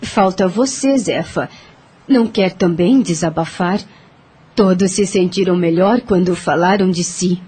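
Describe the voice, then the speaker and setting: A woman playing a calm, wise counselor of spirits in an audio drama, with a husky voice and measured, soothing moralizing. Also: on the low side, soft background music. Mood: calm, counseling.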